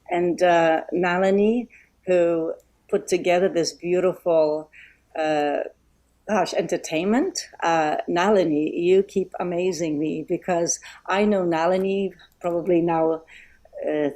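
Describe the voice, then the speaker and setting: A middle-aged woman from Poland giving a heartfelt thank-you speech over a video call, pausing often with um and uh. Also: fairly low pitch. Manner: warm and grateful, many um and uh pauses. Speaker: event guest speaker.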